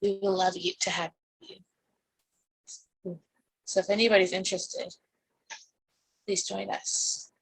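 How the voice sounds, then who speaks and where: A woman's voice: mid-range voice, level tone, measured pace, creaky, pauses often, slightly rough, no echo, poor audio. A woman from the United States, a parent on the board, talking eagerly in an online meeting, rambling and restarting her sentences. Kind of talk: casual online meeting talk. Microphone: video call.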